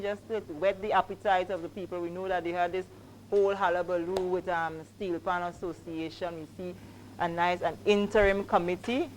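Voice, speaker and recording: A woman's voice: on the low side, slight background noise, poor audio. A woman with a Grenadian accent hosting an on-site interview, asking chatty, teasing questions. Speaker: event host interviewer.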